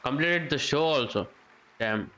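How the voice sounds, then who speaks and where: A woman's voice: rumbling low, expressive, measured pace, a bit bright, low-quality recording. A woman giving casual live gameplay commentary as a streamer, in short murmured reactions. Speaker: game streamer.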